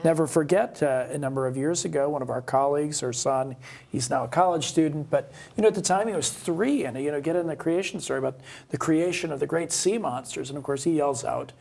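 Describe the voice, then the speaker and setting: A man co-hosting a podcast, talking casually in a halting, rambling, anecdotal way. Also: a little high, gravelly, a little mellow. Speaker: podcast co-host. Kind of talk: casual conversational discussion.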